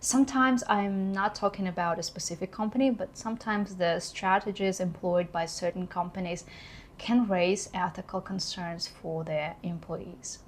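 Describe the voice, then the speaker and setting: A woman's voice: lowish voice, steady tone, normal speed, a little hiss or hum. A woman in her thirties from Kazakhstan with a Kazakh accent, speaking English as a second language in an earnest, reflective personal vlog. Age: 30s. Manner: earnest, reflective, occasionally laughing. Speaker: vlogger.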